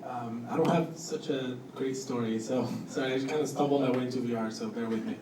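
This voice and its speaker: A man at a middle pitch gives an informal, self-effacing talk as a guest designer. His delivery is a little hesitant. He is heard from some distance in an echoey room with clear background noise. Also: steady tone, a little fast. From Cuba and Costa Rica. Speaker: guest speaker, product designer. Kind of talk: informal spoken introduction at a panel talk. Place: indoor event room with audience.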